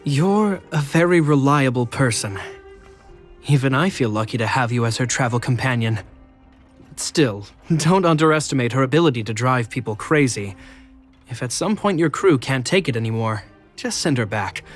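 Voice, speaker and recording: Man voicing a young male guard captain, earnest, reserved, dutiful younger brother, mid-pitched, game dialogue over music. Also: animated, normal speed, natural voice. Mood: reflective, fond. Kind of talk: video game voice acting.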